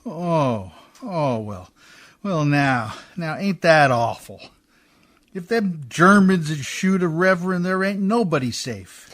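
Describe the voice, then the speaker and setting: A high-pitched, very animated riverboat mechanic played by a man in a radio play. He speaks in rough, folksy, ungrammatical phrases, deferential to a lady yet openly skeptical. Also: takes it slowly. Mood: shocked, awkward sympathy. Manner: folksy ungrammatical speech, often adding "miss". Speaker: actor in a community radio theatre. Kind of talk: radio drama acting in an old Hollywood radio style.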